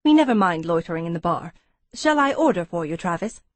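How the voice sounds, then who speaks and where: A woman voicing a brisk, affable British businessman with clipped delivery in an audiobook. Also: on the low side, very animated, no echo. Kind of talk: audiobook character dialogue.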